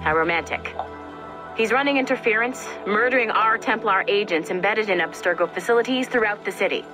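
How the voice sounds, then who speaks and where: A woman's voice: medium pitch, fairly quick, natural voice. Woman playing a brash, sarcastic team handler. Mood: brisk, sarcastic. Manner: snide, commanding. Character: brash, sarcastic female strike-team handler barking orders. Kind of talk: scripted game dialogue, mission briefing. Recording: loud music behind the voice.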